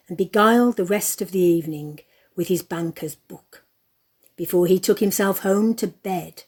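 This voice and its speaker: A woman's voice: medium pitch, mellow, lightly acted. A woman performing as the narrator of a Victorian audio play, telling the story expressively and emphatically.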